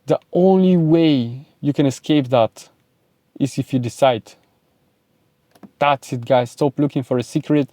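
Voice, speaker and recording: A man's voice: medium pitch, unhurried, pauses often, mellow, no room sound. A young man with a Bulgarian accent, giving an emphatic, repetitive motivational talk straight to camera. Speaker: self-improvement vlogger and speaking coach.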